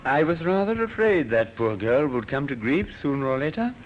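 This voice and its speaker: An actor playing a grave, concerned village vicar in an old radio drama, speaking solemnly and sententiously. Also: male voice, medium pitch, sing-song, normal speed, faint music behind, close to an everyday voice. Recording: muffled, noisy old radio recording.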